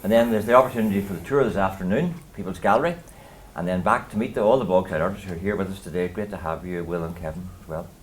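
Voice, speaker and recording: A man's voice: sits low, fast talker, few pauses, slight background noise, poor audio. A man introducing a lunchtime event and welcoming the guests and speakers. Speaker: event host from the peace project. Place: outdoor garden event.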